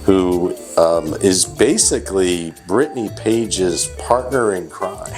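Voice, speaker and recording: A man's voice: sits low, slow pace, a little mellow, soft background music. A man hosting a casual company interview as its CEO, chatty and teasing, with many restarts.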